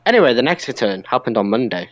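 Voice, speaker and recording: Animated man in casual podcast chat. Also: mid-range voice, fast, dull audio. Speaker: podcast co-host. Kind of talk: casual chat about pro wrestling.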